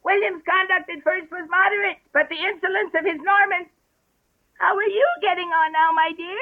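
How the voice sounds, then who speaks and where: A man's voice: fairly even intonation, normal speed. A man voicing a fussy, self-important male mouse who lectures in a prim tone. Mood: pompous. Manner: prim, lecturing, high squeaky voice. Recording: tinny phone-like audio.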